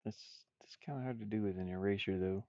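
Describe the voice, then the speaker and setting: Gravelly man rambling casually on stream. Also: on the low side, quick pace, no room sound, muffled recording. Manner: rambling, muttering to himself while working. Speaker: streamer, game developer. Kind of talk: casual livestream chat while drawing game art.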